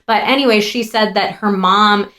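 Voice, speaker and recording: Woman co-host, 30s, earnest and compassionate, casual podcast talk, mid pitch. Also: steady tone, normal speed, sharp tone. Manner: earnest, compassionate, many fillers. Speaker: podcast co-host, sex therapist.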